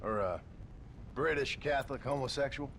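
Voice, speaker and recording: An actor playing a weary, sardonic gunslinger with a gruff Western American drawl and deadpan delivery in a video game. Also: male voice, medium pitch, moderate pace, a little hiss or hum, lightly acted. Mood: dry sarcasm. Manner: dry, deadpan, sarcastic. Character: weary, sardonic male gunslinger, tough and dry.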